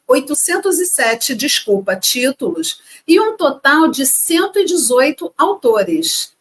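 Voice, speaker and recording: A woman from Rio de Janeiro co-hosting a casual chat, lively and chatty as she reads facts from her notes. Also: high voice. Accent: Rio de Janeiro Portuguese. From Brazil. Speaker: co-host.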